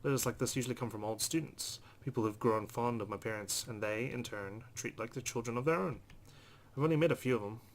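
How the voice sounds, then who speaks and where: Male gamer, mid-pitched voice, expressive read-aloud narration, casual asides, faint noise and ambience. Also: fast talker. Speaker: let's play host. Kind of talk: reading game narration aloud, casual commentary.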